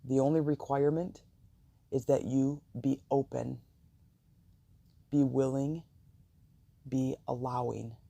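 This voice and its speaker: Slow, very dark gravelly guided meditation voice. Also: medium pitch, no room sound. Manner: slow, calm, soothing, deliberate pauses.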